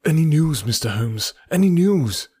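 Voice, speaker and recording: Man playing a highstrung young secretary, excitable, indignant, raised pitch, slightly breathy. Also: normal speed, no room sound, full character voice. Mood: eager. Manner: excitable, indignant, clipped outbursts. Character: agitated young male government secretary, highstrung. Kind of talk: audiobook character reading.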